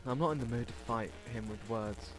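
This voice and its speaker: A man's voice: mid-range voice, fairly quick. A man doing casual let's play narration, reading a visual novel aloud informally and stumbling over words now and then. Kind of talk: casual read-aloud game narration with asides. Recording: music behind the voice. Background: game music.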